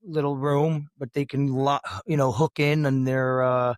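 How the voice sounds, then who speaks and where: A man's voice: medium pitch, little pitch movement, measured pace, clear, resonant tone, no echo, studio quality. A man co-hosting a casual talk podcast, rambling and often restarting and repeating his words. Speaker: podcast co-host. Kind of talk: casual chat.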